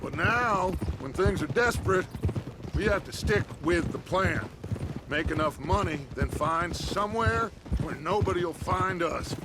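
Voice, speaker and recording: High-pitched, grandiose outlaw gang leader. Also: male voice, expressive, unhurried. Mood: earnest, persuasive. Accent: American. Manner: grandiloquent, persuasive, silver-tongued. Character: grandiose, charismatic middle-aged male outlaw gang leader. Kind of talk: theatrical video game western acting. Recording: noisy outdoor ambience with horse hooves.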